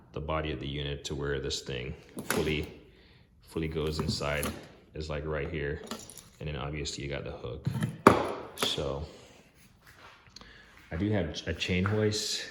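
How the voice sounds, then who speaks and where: A man talking through his garage tool-storage setup in a casual, halting way with many ums and restarts, his voice going hoarse, filmed on a handheld phone. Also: a bass voice, reverberant, slight background noise. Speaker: DIY garage vlogger. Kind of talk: casual rambling walkthrough. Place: home garage. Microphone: handheld phone camera.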